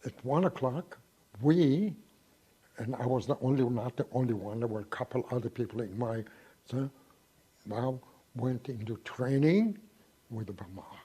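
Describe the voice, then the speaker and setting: A man in his nineties giving an oral history interview, reminiscing in a halting way with frequent pauses and restarts. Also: mid-range voice, lively intonation, warm tone, husky. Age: 90s.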